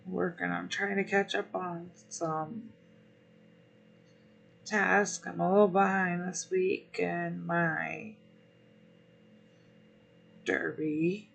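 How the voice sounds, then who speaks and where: Hoarse, gravelly-voiced stream host. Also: male voice, sits high, flat delivery, a bit bright. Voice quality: rough, gravelly. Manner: unhurried, friendly greetings between pauses. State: hoarse, losing his voice. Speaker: livestream host. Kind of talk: relaxed gaming stream chat, greeting viewers.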